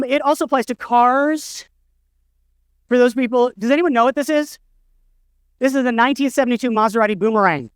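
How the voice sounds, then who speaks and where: A man's voice: extremely high pitch, smooth, no room sound. A middle-aged man giving a conference talk, telling stories with wry, self-deprecating humor. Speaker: conference speaker. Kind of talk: storytelling conference keynote. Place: conference stage, indoors.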